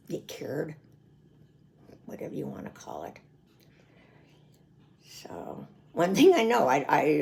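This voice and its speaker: Older American woman, animated and creaky. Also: lowish voice. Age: 70s. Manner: chatty, rambling, prone to chuckling. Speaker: vlogger. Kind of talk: casual personal video diary.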